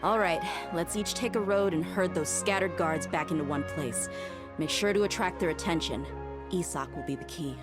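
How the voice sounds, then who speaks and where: An actress playing a bold, confident desert mercenary leader in a video game, casual and assertive. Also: female voice, lowish voice, normal speed, loud music behind the voice, lightly acted. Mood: confident, eager. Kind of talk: scripted game dialogue.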